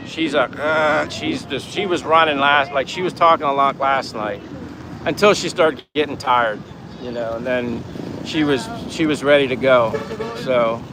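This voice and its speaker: A man chatting casually and meandering through an informal livestream, reading out viewer comments. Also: a little high. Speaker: livestream vlogger. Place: outdoors in the Philippines, in daytime. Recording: noisy outdoor ambience with wind, vehicles. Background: wind, vehicle and outdoor ambience noise.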